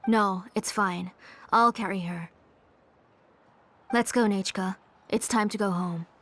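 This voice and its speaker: A protective game mother, with a mid-pitched voice. She is courteous and restrained, gentle in wording yet firm when she gives instructions. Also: fairly even intonation, takes it slowly. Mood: stern. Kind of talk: video game character acting.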